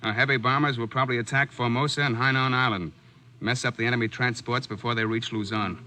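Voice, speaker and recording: An actor playing a matter-of-fact American journalist in a 1940s radio drama, clipped and factual. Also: male voice, mid-range voice, fairly even intonation, fast, bright, forceful tone, lightly acted. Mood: confident, informative.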